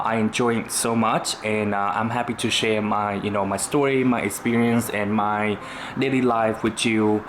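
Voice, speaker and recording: A young man from Vietnam, speaking English with a Vietnamese accent, chatting casually on his vlog with lots of "you know" fillers. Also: mid-range voice. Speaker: YouTuber. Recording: echoey room with faint noise.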